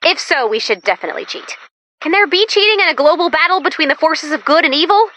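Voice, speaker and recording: A quirky female robot sidekick from a video game, pitched high and very bright. She chats in a perky, theatrical, comic way as a mission guide, swinging widely in pitch from line to line. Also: lively intonation, fast, dry recording. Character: upbeat, quirky female robot assistant guiding the commander.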